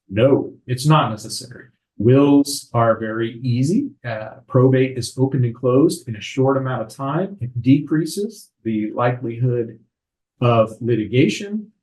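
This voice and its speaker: A man, an attorney, explaining legal matters in a calm, measured way during a podcast conversation. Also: mid-range voice, low-quality recording. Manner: measured, explanatory, frequent "right?" tags. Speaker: estate attorney.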